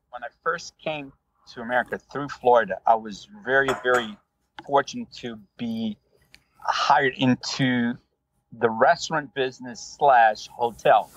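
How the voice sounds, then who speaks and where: A man from Brazil with a Brazilian Portuguese accent, a rideshare driver telling his story in a relaxed, rambling way with many fillers. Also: medium pitch, normal speed, no room sound, poor audio. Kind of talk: casual interview storytelling.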